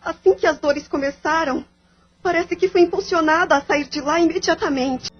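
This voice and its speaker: A woman's voice: very high-pitched, normal speed, lo-fi sound, soft background music. A woman playing a polite, suffering young daughter in an audio drama. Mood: pained, fearful. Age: young adult.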